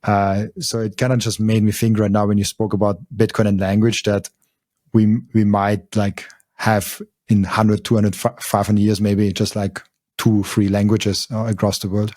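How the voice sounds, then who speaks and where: A man with an Austrian German accent, a native German speaker, chatting casually as a podcast host in a hesitant, halting way, often repeating words. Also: fairly low pitch, level tone, darkish tone, dry recording, studio quality.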